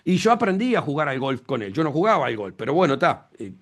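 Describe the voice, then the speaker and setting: A man's voice: a little high, big pitch swings, quick pace. A man preaching a sermon as pastor, emphatic, repeating phrases and asking rhetorical questions.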